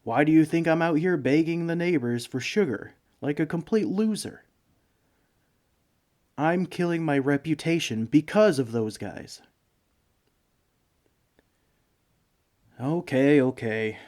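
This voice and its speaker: A man's voice: on the high side, animated, unhurried, darkish tone. A man playing a bumbling, broke villain neighbor in a comedic audio roleplay, speaking in a hesitant, pleading, self-justifying way. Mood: exasperated. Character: broke, bumbling, sheepish male supervillain neighbor begging for sugar. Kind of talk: comedic ASMR roleplay monologue.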